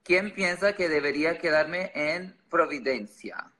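A man's voice: on the high side, a little slow, bright, forceful tone. A man from Chicago with an American accent and English as his first language, chatting casually to livestream viewers in sassy, slangy style. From Chicago, United States. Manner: switches between English and Spanish, sassy, slangy. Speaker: livestream host.

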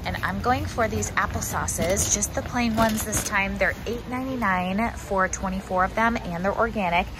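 A woman's voice: mid-range voice, normal speed, hardly any pauses. A young adult American woman chatting casually and informally to viewers, like a shopping vlogger. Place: large indoor warehouse store. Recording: noisy warehouse store background hum.

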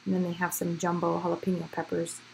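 Chatty woman with a crackly, lowish voice. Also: completely flat delivery, quick pace, vocal fry. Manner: upbeat, chatty, addresses viewers directly. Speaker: host of a home gardening vlog. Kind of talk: casual handheld garden tour narration. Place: outdoors in a backyard garden in the evening. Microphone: handheld camera or phone. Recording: outdoor handheld audio with background noise. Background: faint outdoor ambient noise.

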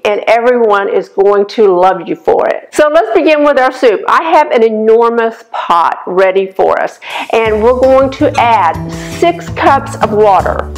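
An older woman with a slightly raspy voice hosts a casual cooking demonstration in her home kitchen, talking the viewer through each step. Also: fairly high pitch, rough recording. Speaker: cooking show host.

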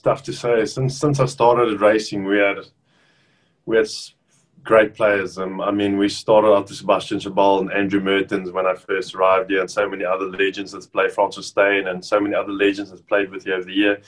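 A South African man in his thirties, whose first language is Afrikaans, chatting casually over a video call as an interview guest with relaxed restarts. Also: sits low, fairly quick. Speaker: rugby player guest. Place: bedroom at home, indoors.

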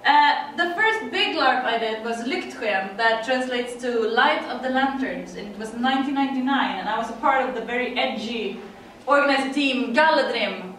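A woman's voice: a little high, moderate pace, hardly any pauses, a bit bright, a little hiss or hum. A middle-aged Swedish woman with a Swedish accent, giving a chatty conference talk in English with frequent laughs and fillers. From Sweden. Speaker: conference speaker. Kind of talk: presentation talk. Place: dim conference room. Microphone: far room mic. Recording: echoey room from far off.

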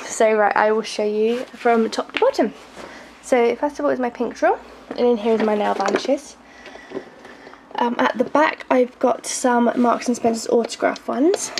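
A woman's voice: a little high, creaky, a little mellow. A young woman with a groggy voice, casually showing her makeup collection in her bedroom, with plenty of ums and small laughs. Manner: chatty, hesitant, frequent ums and little laughs. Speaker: beauty vlogger. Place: bedroom at home. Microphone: handheld camera filming from close. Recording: noisy home video recording.

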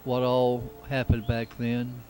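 A man narrating a casual travelogue in an unhurried, reflective, plain-spoken way. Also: mid-range voice, completely flat delivery, mellow, soft background music. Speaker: amateur travel videographer. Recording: noisy camcorder sound with outdoor ambience.

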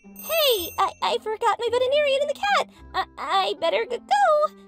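A woman voicing a sleepy, easily flustered teenage girl, expressive and dramatic, for a comic dub. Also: extremely high pitch, normal speed, over music, exaggerated voice.

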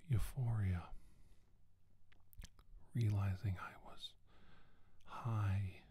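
A middle-aged man playing a shaken doctor giving a halting deposition about alien abduction in an ASMR role-play. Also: lowish voice, steady tone, warm tone, slight background noise. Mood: hushed, haunted. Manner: halting, hesitant, deliberate.

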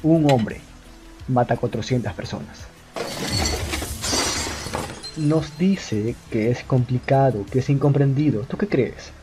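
A man with a Latin American Spanish accent playing a calm, cunning young detective in an amateur film dub, deliberate and accusatory. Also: mid-range voice, natural voice. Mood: scornful, accusing. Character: calm, cunning young male detective confronting his suspect. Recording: music under the dialogue. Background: background music.

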